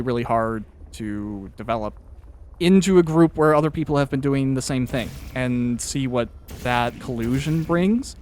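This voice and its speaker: A man hosting a casual interview chat, talking in a wordy, hesitant way and often interrupting himself. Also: mid-range voice. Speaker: podcast host interviewer.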